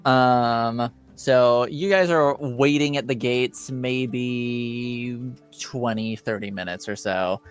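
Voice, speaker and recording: A man running a tabletop roleplaying game as game master, narrating in a halting way as he searches for words. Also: medium pitch, smooth, faint music behind.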